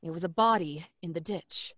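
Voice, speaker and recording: Grave doctor, dry close sound. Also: female voice, lowish voice, a bit bright, no echo. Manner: measured, hesitant, dramatic. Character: grave, guarded woman doctor bearing grim news. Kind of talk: old-time radio acting. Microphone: large studio condenser microphone.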